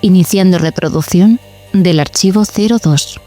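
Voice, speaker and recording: A woman playing a solitary archivist who narrates an eerie audio drama in a measured, solemn, enigmatic way. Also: lowish voice, warm tone, faint music behind, natural voice. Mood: ominous, measured. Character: solitary female archivist cataloguing eerie tapes. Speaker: host.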